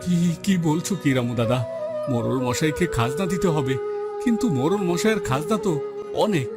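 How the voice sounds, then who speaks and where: A man's voice: on the high side, expressive, brisk, loud music behind the voice. A man voicing an earnest, humble poor village fisherman, a husband and father, in a cartoon story. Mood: dismayed. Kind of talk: Bengali moral story cartoon dubbing.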